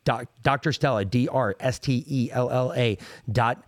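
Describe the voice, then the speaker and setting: A man's voice: medium pitch, moderate pace. A man co-hosting a political podcast, talking heatedly, interrupting and swearing. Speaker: podcast co-host. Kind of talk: opinionated political talk.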